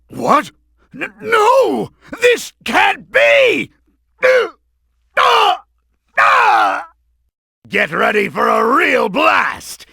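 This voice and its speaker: A man's voice: extremely high pitch, vocal fry, pushed and bright, slightly rough, no echo, studio quality, full character voice. A man voicing a bombastic, scheming middle-aged mad-scientist villain in a video game, theatrical and blustering, with a hot temper. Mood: panicked, outraged, then gloating, maniacal.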